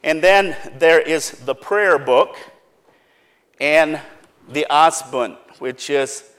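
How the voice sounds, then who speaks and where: A man's voice: on the high side, slow pace, fairly bright tone. A man whose first language is Pennsylvania Dutch, giving an earnest, plain-spoken talk and explaining step by step. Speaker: speaker giving a presentation.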